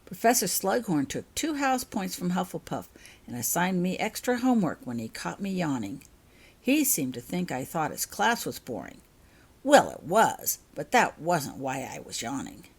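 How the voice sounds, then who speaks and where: A woman's voice: fairly low pitch, very animated, moderate pace, breathy edge, full character voice. A woman voicing a chatty, matter-of-fact schoolboy wizard in a storybook reading, confiding in his friends.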